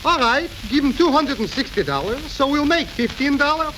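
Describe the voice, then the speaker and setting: Casting: dismissive, nitpicking young salesman, extremely high animated voice, crackly 1930s radio comedy. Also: normal speed, exaggerated voice. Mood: dismissive haggling. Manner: dismissive, clipped appraising. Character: fault-finding, sharp-eyed young son of a car dealer.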